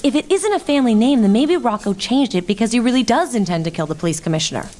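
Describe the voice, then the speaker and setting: A woman's voice: fairly high pitch, expressive, fast, a little mellow, lightly acted. A woman playing a skeptical, earnest newspaper reporter sidekick, matter-of-fact and wry, in a comic audio drama. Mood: earnest, urgent. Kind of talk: comic radio drama acting.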